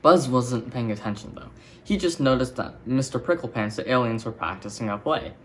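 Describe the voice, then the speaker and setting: A man's voice: medium pitch, lively intonation, normal speed, a bit bright, slight background noise. A man reading a children's story as a scripted narration. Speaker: storybook reader.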